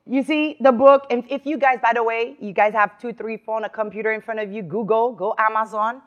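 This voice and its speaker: A woman's voice: fairly high pitch, steady tone, fairly quick, fairly bright tone, dull audio. A woman hosting a talk show, bubbly and chatty, laughing often as she talks to her guest.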